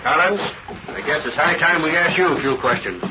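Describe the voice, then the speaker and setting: An actor playing a gruff, excitable man of the Old West in a radio drama, blustery and emphatic. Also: a little high, brisk, close to an everyday voice. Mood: stern, suspicious. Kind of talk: 1930s-40s radio western acting. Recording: noisy dull old radio broadcast recording.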